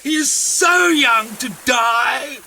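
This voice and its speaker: A man's voice: extremely high pitch, takes it slowly, bright, forceful tone, slight background noise, heavily acted. An actor playing a desperate old man in a Victorian audio drama, begging and imploring melodramatically. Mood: anguished begging. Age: older. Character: desperate old father begging for his drowning son.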